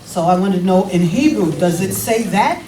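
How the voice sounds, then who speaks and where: A woman's voice: medium pitch, animated, normal speed. A woman in a congregation asking a question about a scripture translation, hesitant and restarting often. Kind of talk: question in a group discussion. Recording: distant mic in an echoey room with a crowd.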